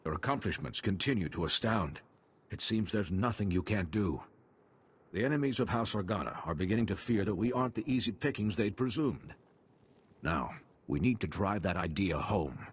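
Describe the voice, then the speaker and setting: An actor playing a courtly male house official in a video game, with a formal, measured manner. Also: on the low side, animated, breathy edge. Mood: admiring, resolute.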